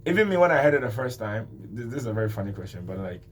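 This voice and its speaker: A man's voice: medium pitch, very animated, very fast. A man hosting a radio interview in a friendly, loose way, with lots of fillers and hesitations. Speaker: radio host. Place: radio studio.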